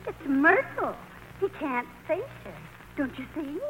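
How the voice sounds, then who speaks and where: High, fluttery, fretful older mother. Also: animated, a little slow, a bit bright. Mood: anxious, flustered. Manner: fluttery, anxious, coaxing. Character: fretful, flustered older wife and doting mother. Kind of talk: 1940s radio play acting. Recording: muffled, noisy old radio broadcast.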